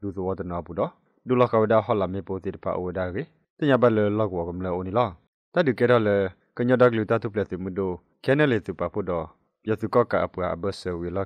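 A man's voice: fairly low pitch, a little mellow, no room sound. A man giving a scripted news report as a radio reporter, on a dull recording. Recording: dull, limited-band radio sound.

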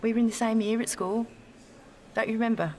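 A woman's voice: a little high, a little fast, darkish tone, slight background noise, close to an everyday voice. An actress playing an eager, self-effacing young woman in a naturalistic audio drama, talking in a chatty, gushing way. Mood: crestfallen.

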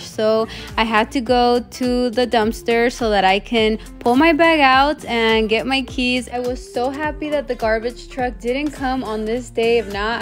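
A woman in her thirties casually narrating her home cleaning in a voice-over. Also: on the high side. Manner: casual, chatty, matter-of-fact. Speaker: cleaning vlogger. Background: background music.

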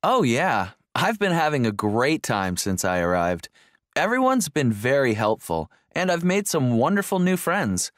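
Enthusiastic male tourist, played by a man, animated intonation, learner-audio dialogue, dry sound. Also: mid-range voice, unhurried, no echo. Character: enthusiastic male visitor enjoying his trip. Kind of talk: scripted small talk for an English listening exercise.

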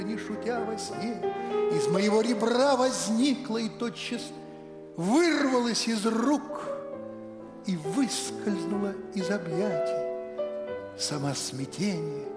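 Older guy on a big stage reciting verse slow and grand, echoey hall. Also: sits high. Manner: slow dramatic recital, then brisk announcing. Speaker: award presenter. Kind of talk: poetry recitation and formal stage introduction. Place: large concert hall stage. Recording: echoey hall with music under it.

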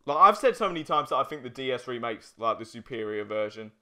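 A young man with a bright, clear-cut voice co-hosts a let's play. He talks casually while he plays, in an informal, sweary way. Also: mid-range voice, lively intonation, quick pace. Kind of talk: casual chat while playing a video game.

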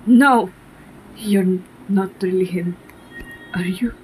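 A woman voicing a caring but uneasy young man in an amateur comic dub, with a halting delivery. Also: medium pitch, a little mellow, a little hiss or hum, lightly acted, rough recording. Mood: frightened defiance.

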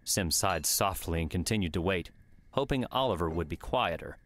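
A man's voice: low voice, animated, normal speed, a bit bright, slight background noise. A man reading scripted audiobook narration of a story.

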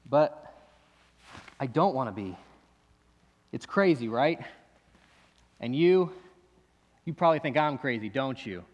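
An actor playing a bitter, distrustful man who keeps himself restrained, talking in halting bursts that repeat and break off, with pointed questions at the listener. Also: fairly high pitch, close to an everyday voice. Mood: defensive, confrontational. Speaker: actor in a monologue. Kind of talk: dramatic stage monologue. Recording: long echoey hall reverb.

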